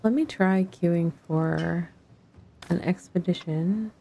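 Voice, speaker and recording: Slow, mellow woman thinking aloud while gaming. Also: on the low side, gravelly, slight background noise. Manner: musing, talks to herself in short fragments. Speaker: streamer playing an online fantasy game. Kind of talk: casual gameplay chat, thinking aloud.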